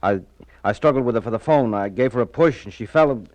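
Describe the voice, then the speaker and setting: An American actor playing a guilt-ridden, jealous man confessing, halting and pleading, in 1940s radio crime drama. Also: medium pitch, darkish tone, no room sound, muffled recording, natural voice. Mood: anxious, defensive.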